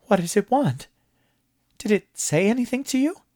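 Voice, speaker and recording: A man voicing an excitable, jovial businessman who gushes in a high voice. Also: moderate pace. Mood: alarmed. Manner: high, gushing and breathless.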